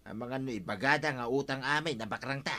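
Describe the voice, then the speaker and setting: A man's voice: medium pitch, a little fast, bright, forceful tone, lightly acted. A man with an Ilocano accent playing a grumbling, henpecked village captain in a comedy radio drama.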